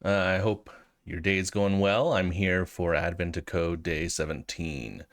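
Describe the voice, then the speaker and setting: A man's voice: sits low, measured pace. An American man with a cold, explaining things casually and informally as the host of a tutorial video, hesitating as he thinks aloud. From United States. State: has a cold. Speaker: host of a programming walkthrough video.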